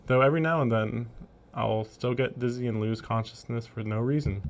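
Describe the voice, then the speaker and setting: A man's voice: medium pitch, a little fast. A man livestreaming a visual novel, reading the narration aloud and breaking off into casual, amused commentary.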